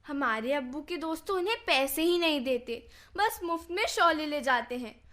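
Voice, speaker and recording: Radio play role: small boastful girl, innocent and talkative, high piercing voice. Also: lively intonation, moderate pace, a bit bright. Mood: eager, boastful. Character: chatty, naive, boastful little Kashmiri girl.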